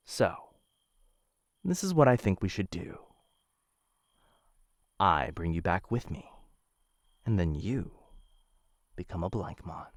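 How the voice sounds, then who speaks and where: A man playing a smitten, dangerous assassin of a noble house in an intimate boyfriend roleplay, teasing and coaxing with theatrical pauses. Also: sits low, no room sound, studio quality, full character voice.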